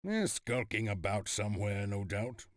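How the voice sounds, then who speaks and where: A man's voice: fairly low pitch, expressive, moderate pace, dry recording, studio quality, natural voice. An actor playing a wary, cynical townsman in a video game cutscene. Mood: wary, offhand. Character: wary, cynical town local warning outsiders.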